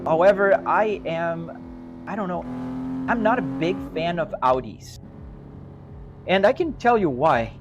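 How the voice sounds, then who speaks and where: Easygoing Brazilian-accented man, slightly high voice. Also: lively intonation, slow pace, long pauses, dull audio. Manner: easygoing, rambling, restarts and hedges. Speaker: sim racing live streamer. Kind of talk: casual chat answering live chat. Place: home sim racing rig. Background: music playing.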